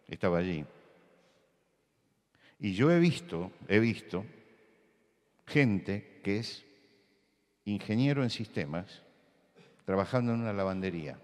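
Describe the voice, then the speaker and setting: Rioplatense male preacher, lowish voice, emphatic and repetitive, church hall reverb. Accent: Rioplatense Spanish. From Buenos Aires. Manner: emphatic, repeats key words, rises to loud insistence. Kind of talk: sermon talk with anecdotes.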